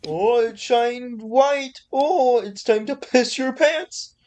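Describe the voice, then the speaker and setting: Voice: mid-range voice, takes it slowly, darkish tone. Reaction cohost w medium voice, casual chat, crude jokes, acting fake scared. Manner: crude, mock-scared joking. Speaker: reaction video co-host.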